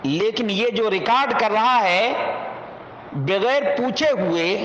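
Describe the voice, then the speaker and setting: Slow, high-pitched man preaching in echo. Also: fairly bright tone. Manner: earnest, exhorting, repeats key words for emphasis. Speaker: Islamic preacher. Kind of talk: sermon. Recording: dull, noisy, echoing hall recording.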